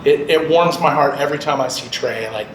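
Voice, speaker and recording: A man's voice: fairly high pitch, fairly quick, background noise. A man speaking as a guest radio programmer on a panel, giving advice to artists and repeating key points for emphasis. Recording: loud music behind, roomy sound.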